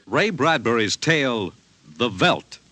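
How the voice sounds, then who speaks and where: An actor as a grand, theatrical science fiction announcer in a 1950s radio broadcast. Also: male voice, medium pitch, sing-song, takes it slowly, heavily acted. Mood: grand, dramatic. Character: dramatic male science fiction anthology announcer. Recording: muffled old radio recording, loud music.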